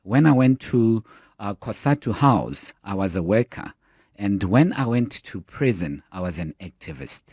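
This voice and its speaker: A man's voice: lowish voice, expressive, moderate pace, darkish tone, no echo. A man hosting a radio interview in a studio, an engaged listener who keeps affirming his guest. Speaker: radio host.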